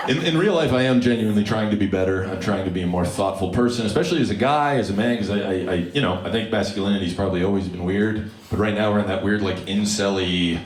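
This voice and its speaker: A deep-voiced young man from Alberta doing live stand-up, wry and self-mocking with deadpan asides. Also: fast talker, hardly any pauses. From Alberta, Canada. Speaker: stand-up comedian. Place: comedy club stage before a live audience. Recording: roomy live club with loud crowd laughter.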